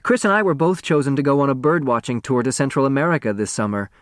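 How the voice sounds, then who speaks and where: A man's voice: mid-range voice, fast, clear, resonant tone, no room sound, clean studio sound, natural voice. A man voicing an eager, friendly young woman in a storybook.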